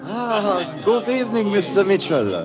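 An actor playing a courtly, formal doctor and genteel host in a mid-century radio drama, speaking with polite, careful phrasing. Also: male voice, high-pitched, measured pace. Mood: gracious. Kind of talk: 1940s-50s radio drama acting. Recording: dull old radio recording with loud music.

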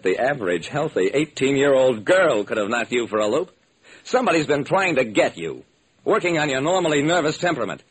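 Expressive, slightly husky male detective narrator. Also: medium pitch, rough recording. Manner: dramatic storytelling. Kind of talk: scripted first-person radio detective narration.

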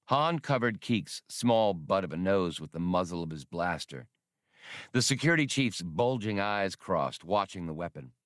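A man's voice: deep voice, sing-song, moderate pace, fairly bright tone, no echo, clean studio sound. A man reading adventure fiction aloud as an audiobook narrator, in a dramatic scripted style. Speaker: audiobook reader.

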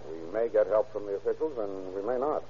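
A man playing a determined American police detective in a radio drama, brisk and commanding, with an American accent. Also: on the low side, warm tone, slightly rough. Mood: resolute. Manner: brisk, plain-spoken, commanding. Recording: tinny vintage radio, background noise.

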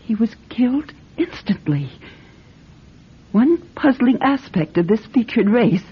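A woman acting in a radio drama as an anxious, quick-witted grandmother with a husky, breathy voice. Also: on the high side, very animated, heavily acted. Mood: grave. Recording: muffled old radio drama recording.

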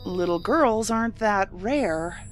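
An actress playing a skeptical, sharp-tongued federal agent in a science fiction audio drama, blunt and challenging with a sardonic edge. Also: female voice, medium pitch, lively intonation, slow. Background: music under the voice.

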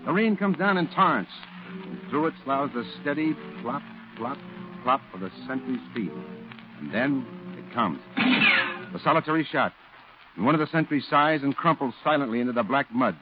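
An actor playing a solemn war correspondent, narrating dramatically in a 1940s radio drama. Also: male voice, mid-range voice, expressive, normal speed, phone-quality sound, lightly acted. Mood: grave, suspenseful. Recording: thin old radio recording. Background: music.

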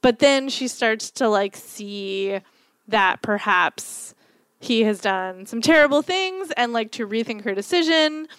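A woman co-hosting a podcast, chatting casually about a book in a rambling way with lots of fillers, a little croaky from a cold. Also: fairly high pitch, lively intonation, takes it slowly, fairly bright tone, no room sound. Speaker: podcast co-host. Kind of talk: casual book-review chat.

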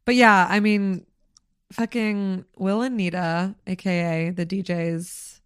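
A slightly hoarse woman co-hosting a podcast, chatting casually, swearing freely and filling with likes and ums. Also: mid-range voice, steady tone, slow, no room sound, clean studio sound. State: a little hoarse. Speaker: podcast co-host. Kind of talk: casual chat.

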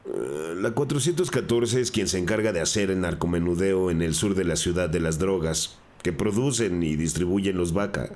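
An actor playing a cornered gang drug dealer in a crime audio drama, defensive, earnest and pleading. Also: male voice, low-pitched, moderate pace, hardly any pauses, darkish tone, lightly acted.

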